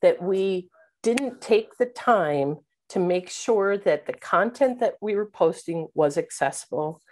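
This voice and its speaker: A woman's voice: medium pitch, expressive, takes it slowly, darkish tone, a little hiss or hum. Woman giving a teaching webinar. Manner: instructive, fillers like um and uh, asks "right?". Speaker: keynote speaker on teaching. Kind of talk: webinar presentation. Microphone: video call webcam.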